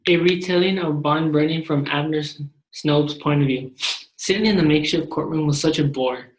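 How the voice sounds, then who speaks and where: A man's voice: a little high, moderate pace, roomy sound, rough recording. A man, a student, reading a story retelling aloud from a text. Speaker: student presenter.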